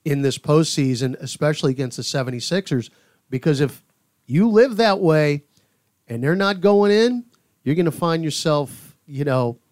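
A man's voice: fairly high pitch, expressive, moderate pace, darkish tone, no room sound, clean studio sound. A man giving conversational sports analysis as a podcast panelist, speaking emphatically and repeating key points.

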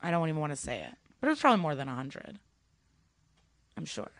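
A woman's voice: sits low, very animated, fast talker, dry recording. A young woman from Ukraine, a stand-up comedian, chatting casually as a podcast guest and telling stories with many fillers.

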